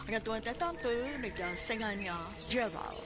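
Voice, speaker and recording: A woman's voice: on the high side, big pitch swings, moderate pace. A woman with a Cebuano Filipino accent reading scripted narration to introduce a radio drama. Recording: music under the voice.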